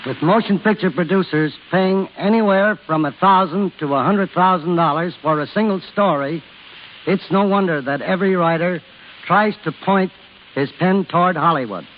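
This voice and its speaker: A man's voice: high-pitched, moderate pace. A man giving a formal scripted talk as a guest executive on 1930s radio. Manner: formal read delivery. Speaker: guest speaker, film studio executive. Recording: muffled, hissy old radio recording.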